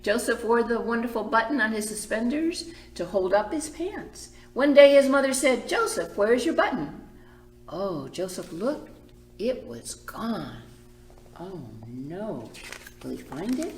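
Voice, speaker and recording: A woman reading a picture book aloud to children as a library storyteller, expressive and emphatic, with chatty asides. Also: a little high, unhurried, echoey room, slight background noise.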